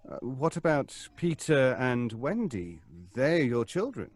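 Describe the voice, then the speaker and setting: A man playing a calm, measured psychiatrist in a live radio play, dictating in a clinical way. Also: medium pitch, no echo. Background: faint live music.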